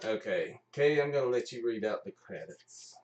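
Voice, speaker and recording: A man's voice: mid-range voice, lively intonation, normal speed, a little mellow. A man hosting a live stream of radio plays in casual chat. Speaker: host of live radio play show. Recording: roomy, mic at a distance.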